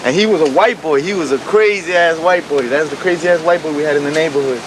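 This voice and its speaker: A man with a fairly high voice tells street stories in slangy, profane language. He repeats phrases for emphasis. The field recording is clearly noisy. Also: fast. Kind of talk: animated street storytelling.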